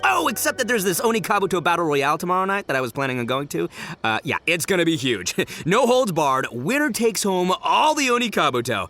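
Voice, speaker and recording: A man's voice: high-pitched, very animated, brisk, pushed and bright, soft background music, exaggerated voice. A man voicing a brash, boastful young beetle-fighting champion in a video game, slangy and boisterous, laughing often. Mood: animated.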